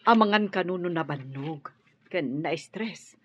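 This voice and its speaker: A woman playing a kindly, concerned helper in a radio drama, with a husky voice. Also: fairly low pitch, lively intonation, unhurried. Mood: reassuring, concerned. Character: concerned, kindly woman caring for an injured girl. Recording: dull, muffled studio sound.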